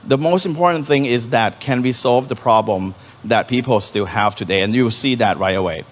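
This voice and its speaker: A man from Thailand, a Thai native speaker, giving a startup conference talk on stage into a handheld mic, halting and earnest, with many ums and restarts. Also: mid-range voice. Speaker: startup founder presenting on stage. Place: conference venue hall. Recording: hall reverb from a live venue.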